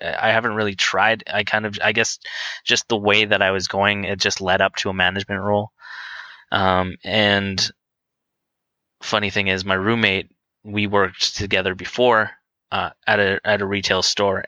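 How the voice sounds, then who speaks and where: A man in his late twenties talking candidly and casually as a guest, hesitant and halting, feeling his way through his answers. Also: lowish voice, bright, forceful tone, no room sound, poor audio. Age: twenty-nine. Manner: hesitant, halting, self-conscious. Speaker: interview guest on a podcast.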